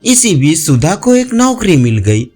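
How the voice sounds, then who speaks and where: A man narrating a scripted audio story with measured, expressive storytelling delivery. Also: a little high. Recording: music bed behind voice. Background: background music.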